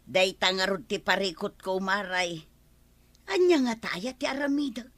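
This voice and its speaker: A woman's voice: medium pitch, normal speed, fairly bright tone, no room sound, close to an everyday voice. A woman with an Ilocano accent playing a whiny, grumbling village wife tired of farm work in a radio comedy drama.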